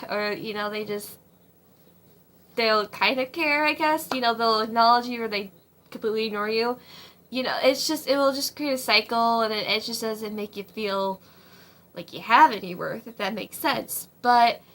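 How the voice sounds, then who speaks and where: A young woman in her twenties, slightly hoarse, giving casual advice from her home kitchen in a rambling way, with many ums and likes. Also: on the high side, a bit bright. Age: 20s. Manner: rambling, full of ums and likes, frequent laughs. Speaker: vlogger. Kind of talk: casual faith-based advice vlog.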